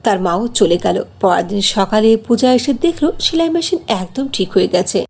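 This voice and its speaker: A woman's voice: medium pitch, sing-song, a little fast, gravelly, a little mellow, slightly rough. A woman narrating a scripted fairy tale as a storyteller.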